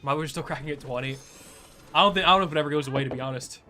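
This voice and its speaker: A young man streaming a game, chatting casually in a laid-back, chuckling way with a fairly high-pitched voice. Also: rapid-fire, pushed and bright, slight background noise. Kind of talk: casual live gameplay commentary.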